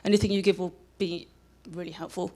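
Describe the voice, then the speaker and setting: A woman giving an informal public lecture into a live event microphone, speaking in a halting, self-interrupting way. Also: lowish voice, fairly even intonation, warm tone. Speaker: public programs manager and lecturer. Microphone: microphone for live streaming.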